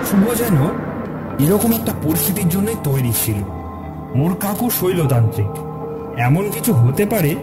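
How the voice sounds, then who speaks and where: Male horror story narrator over music. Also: a little high. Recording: clear music bed under narration.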